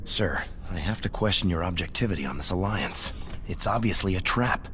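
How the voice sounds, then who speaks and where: A man's voice: low-pitched, lively intonation, moderate pace, a bit bright. A man playing an earnest, principled second-in-command in a video game, formal and insistent. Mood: objecting. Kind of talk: dramatic video game dialogue. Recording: faint ambient noise behind.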